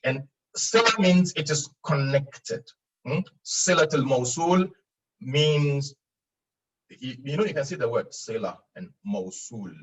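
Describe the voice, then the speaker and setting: Arabic grammar teacher lecturing online, slightly distant. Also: male voice, mid-range voice, slow. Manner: explains patiently with frequent repeats and fillers, laughs at own jokes; acts out students' questions in a raised voice.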